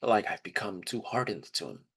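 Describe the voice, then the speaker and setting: A man's voice: fairly low pitch, big pitch swings, moderate pace, vocal fry, a bit bright, breathy edge. A man telling personal stories in a casual, conversational way, candid and openly emotional. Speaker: trip leader for student service trips.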